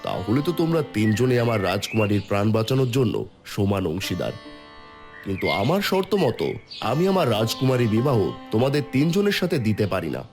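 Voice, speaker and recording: A man plays a fair-minded king from a fairy-tale cartoon. He speaks emphatically, his pitch rising and falling a lot, with faint music behind. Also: sing-song, a little fast. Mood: deliberate, gracious. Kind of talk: dramatic Bengali cartoon dubbing.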